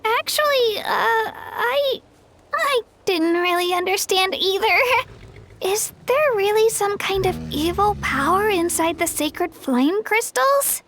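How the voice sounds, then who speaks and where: A timid, earnest young girl, very high and soft-spoken with gentle hesitations. Light ambience underneath. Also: expressive, takes it slowly, a little hiss or hum, exaggerated voice. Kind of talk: game cutscene voice acting.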